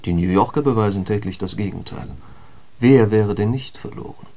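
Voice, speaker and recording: An actor playing a cynical, philosophizing intellectual in a literary radio drama, declaiming emphatically in aphorisms. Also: male voice, on the low side, normal speed, a little mellow, close to an everyday voice. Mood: sardonic, resigned.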